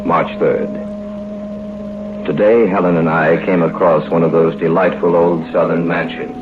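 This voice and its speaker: An actor playing a solemn, haunted storyteller, grave and measured in scripted 1950s radio horror, on a muffled noisy recording. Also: male voice, low-pitched, flat delivery, over music. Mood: measured reading. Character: solemn, haunted male storyteller mourning his best friends.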